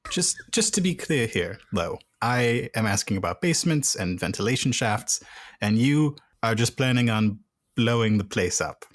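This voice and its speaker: A man's voice: medium pitch, expressive, moderate pace, dry recording. A man playing a tabletop game, asking questions in a dry, wry way. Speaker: player.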